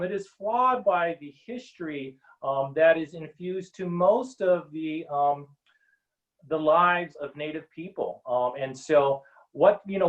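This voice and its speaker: A middle-aged man from Hawaii speaking earnestly as a panel guest on a video call, with many pauses and ums. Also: a little high, muffled recording. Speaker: panel guest, Native policy advisor. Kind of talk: webinar panel talk.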